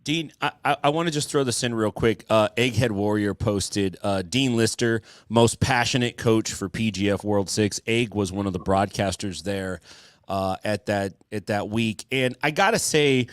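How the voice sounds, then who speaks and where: A man hosting a podcast in casual chat, relaxed, stumbling a bit and swearing casually. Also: on the low side, moderate pace, no echo, clean studio sound. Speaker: podcast host.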